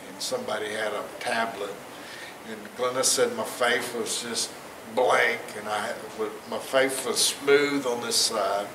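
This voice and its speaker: A man in his fifties telling a personal testimony to a church congregation, rambling and chuckling at his own jokes. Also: medium pitch. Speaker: church member giving testimony. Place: church sanctuary stage, indoors, large. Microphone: far camera, no mic seen. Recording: roomy echo from far off, noisy.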